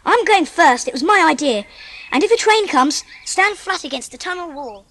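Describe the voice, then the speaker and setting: A woman playing a bold, bossy child adventurer with an English accent, brisk and no-nonsense, in a dramatised audiobook. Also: extremely high pitch, animated, fairly bright tone, long reverb tail, heavily acted.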